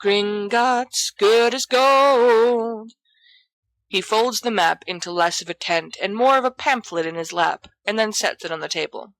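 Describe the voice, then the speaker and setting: A woman reading fiction aloud in an expressive storytelling style. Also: on the low side, a little fast, creaky, hardly any pauses, no echo. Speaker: audiobook reader of fan fiction. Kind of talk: expressive scripted narration.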